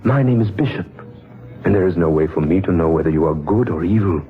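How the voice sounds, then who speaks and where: Wise mysterious elder, 1940s radio play. Measured, grave, prophetic delivery, muffled lo-fi sound. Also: male voice, low-pitched, lively intonation, faint music behind. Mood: solemn, cryptic. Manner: measured, solemn, oracular. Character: wise older man, a solemn mysterious mountaintop sage. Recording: muffled band-limited 1940s radio recording.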